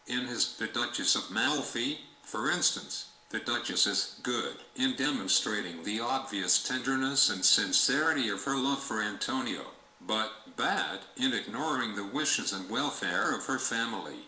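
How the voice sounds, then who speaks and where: A man's voice: mid-range voice, bright, forceful tone. A man reading formal academic passages aloud as a test example. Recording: roomy echo with noisy background.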